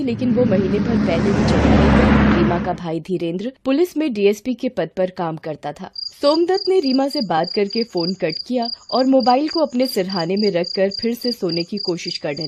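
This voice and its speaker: A woman reads a scripted story as narrator. Her intonation is animated and rises and falls widely. The recording has clear background noise. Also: mid-range voice.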